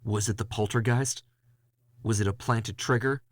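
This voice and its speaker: A man playing a weary, hard-boiled ghost hunter in a noir horror audio drama, gravelly, brooding and confessional. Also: lowish voice, steady tone, normal speed, no room sound, lightly acted. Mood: grim, intense. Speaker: narrator and lead character.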